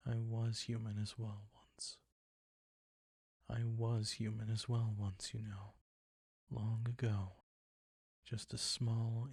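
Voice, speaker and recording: A very husky, breathy vampire count, acted by a man, speaks slowly and deliberately, close and dry on the mic. He is seductive and predatory, silkily teasing and possessive, often near a whisper, as in an intimate audio roleplay. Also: fairly low pitch, mellow, dry recording, full character voice. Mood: brooding, seductive. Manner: slow, deliberate, silky teasing, commanding.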